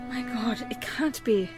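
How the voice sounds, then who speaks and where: A woman playing a gentle psychic fortune teller in an audio drama. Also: a little high.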